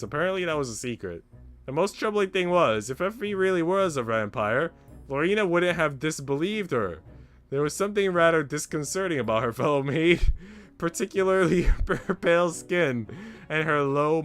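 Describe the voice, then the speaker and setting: A man's voice: on the high side, a bit bright, clean tone. A man streaming a visual novel, reading its text aloud and adding casual asides, with music playing behind him.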